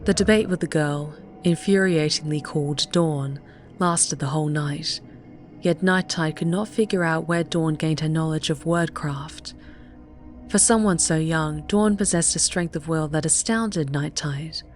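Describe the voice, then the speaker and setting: Female audiobook narrator, low register, measured and dramatic, reading fiction over a soft music bed.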